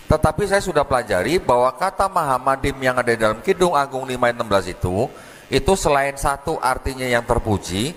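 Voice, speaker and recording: A man's voice: medium pitch, fairly bright tone. Indonesian man speaking in a reverberant hall. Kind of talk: explanatory religious talk. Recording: noisy hall with long reverb.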